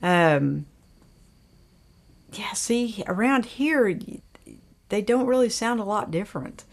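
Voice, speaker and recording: A woman's voice: medium pitch, expressive, slow pace. An older woman, hosting a livestream and chatting casually in a folksy, rambling way as she reads out viewer comments. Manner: folksy, rambling, with frequent fillers. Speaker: livestream host.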